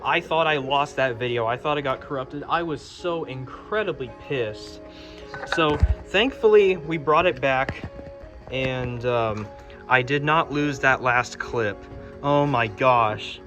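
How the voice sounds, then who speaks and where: A man giving an informal hands-on walkthrough, talking casually as he works. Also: on the high side. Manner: informal, talking while working with his hands. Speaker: host of a hobby fire alarm channel. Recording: music playing behind the voice.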